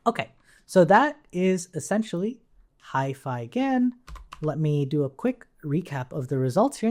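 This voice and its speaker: A man's voice: fairly high pitch, darkish tone. Conversational man teaching a coding tutorial. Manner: conversational, thinks aloud, often checks with "right?". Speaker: instructor. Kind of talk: informal coding tutorial, explaining while demonstrating on screen.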